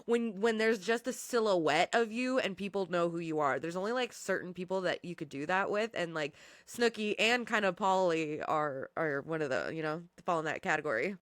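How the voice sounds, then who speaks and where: A woman guest on a podcast, chatting in a lively, talkative way. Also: fairly low pitch, moderate pace, few pauses, no echo. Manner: chatty, animated, laughs often. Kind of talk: casual podcast chat.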